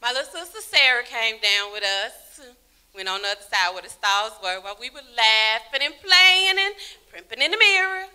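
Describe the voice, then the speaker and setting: A woman playing a young girl victim, speaking earnestly and slowly into a handheld mic on a church stage. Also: a little high, animated, sharp tone, clear, resonant tone. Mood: solemn. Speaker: actor in a church skit.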